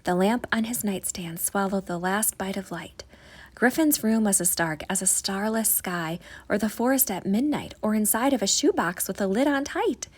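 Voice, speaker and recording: A woman reading a children's bedtime story aloud, with a breathy voice and a dramatic, drawn-out storybook delivery. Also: medium pitch, expressive. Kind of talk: expressive bedtime story narration.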